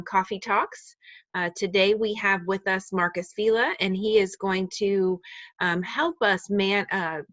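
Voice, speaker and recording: A woman welcomes people to an online session as host, friendly and a little halting with fillers. Her voice sits at a middle pitch. The sound is dry and dull, like a video call. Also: fairly bright tone, no room sound. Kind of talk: webinar introduction.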